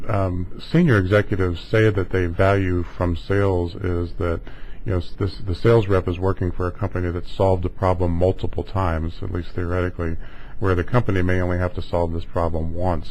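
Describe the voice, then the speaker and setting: A man hosting a conversational business interview, talking in a halting way with hedging asides. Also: low-pitched, darkish tone, a little hiss or hum, rough recording. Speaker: interview host.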